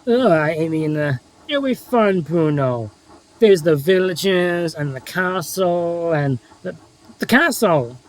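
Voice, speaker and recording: A man's voice: on the high side, lively intonation, slow pace, rough recording. A man playing a grumpy, devious diesel engine in a children's railway story, sarcastic and boastful in manner. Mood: awkward.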